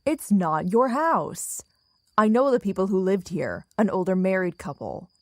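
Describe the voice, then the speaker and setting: An actress playing a calm, guarded young woman survivor in an audio drama, speaking in a cool, assertive way. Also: mid-range voice, unhurried, no room sound, clean studio sound.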